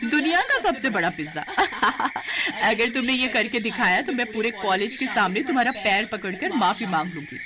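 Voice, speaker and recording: A snobbish young college beauty, put on by a woman narrator, sneers and taunts in a raised, very animated voice. She mocks with open scorn in dramatized story dialogue, with crowd noise behind. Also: fairly high pitch, normal speed, few pauses. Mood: mocking.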